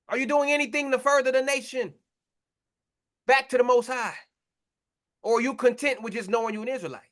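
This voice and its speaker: A man's voice: very high-pitched, sharp tone. A man preaching a confrontational sermon, blunt and mocking, in slangy talk to his listeners.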